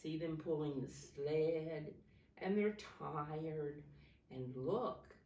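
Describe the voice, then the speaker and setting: A woman reading a picture story aloud to young children in a sing-song way, pausing to point things out and ask simple questions. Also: sits low, dark tone, lo-fi sound. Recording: roomy echo, distant microphone.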